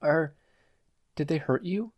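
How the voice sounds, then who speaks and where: A narrator voicing a teenage boy hero who is cocky and playful but gently reassuring, with a casual, earnest manner. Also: mid-range voice, takes it slowly, no room sound, clean studio sound, pitched above the performer's own voice. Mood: gentle, reassuring. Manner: casual, nonchalant, softening to earnest.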